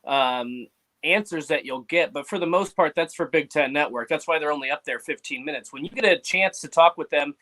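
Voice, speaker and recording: A male sports writer chats as a guest on a radio show, relaxed and agreeable. His voice is a little higher than most men's. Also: a little fast, rough recording. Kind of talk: casual sports radio chat.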